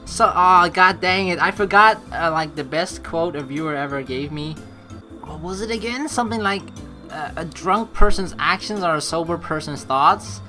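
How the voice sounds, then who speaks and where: A man giving casual, rambling gameplay commentary and reading dialogue aloud, excitable, informal and sweary. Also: fairly high pitch, normal speed. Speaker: let's player. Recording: game music behind the voice. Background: game music.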